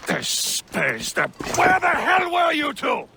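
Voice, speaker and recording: Game casting: hot-tempered French aristocrat sea captain, sharp forceful voice with a gravelly edge, theatrical and pompous. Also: male voice, very high voice, huge pitch swings, takes it slowly, a little hiss or hum, heavily acted. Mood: angry, alarmed. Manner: haughty, scolding, theatrical. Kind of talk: video game cutscene acting.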